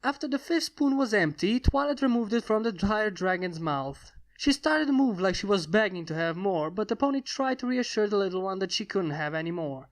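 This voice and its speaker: A woman reading a fantasy story aloud as scripted, expressive narration. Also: medium pitch, a little fast, hardly any pauses. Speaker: fan fiction reader.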